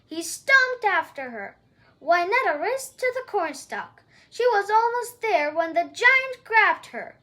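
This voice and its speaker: A woman reading a children's story aloud in an expressive storytelling style. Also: extremely high pitch, slow. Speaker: storybook reader.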